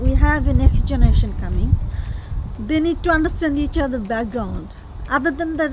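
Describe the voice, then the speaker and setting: A woman's voice: high-pitched, muffled recording, slight background noise. A woman, a university lecturer, speaking earnestly outdoors in a garden. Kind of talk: interview answer.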